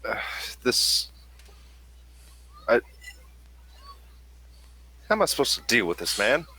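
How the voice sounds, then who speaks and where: A man with a husky voice casually role-playing in character, grumbling and narrating his character's actions. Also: mid-range voice, sing-song, slow pace, pushed and bright.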